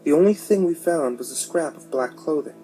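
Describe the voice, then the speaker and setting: A man's voice: on the high side, expressive, brisk, dark tone, poor audio. A man narrating a scripted horror story as a storyteller. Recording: music behind.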